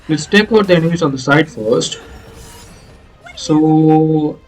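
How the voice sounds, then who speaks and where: Higher-pitched man, halting game commentary. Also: faint music behind. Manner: explanatory, halting, hesitant. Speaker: gaming video creator.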